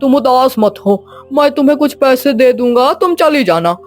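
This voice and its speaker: Guy doing a loving old grandpa, protective and reassuring, cartoon story, soft music behind. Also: very high voice, normal speed. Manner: affectionate, chuckling, reassuring. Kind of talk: animated moral story dialogue.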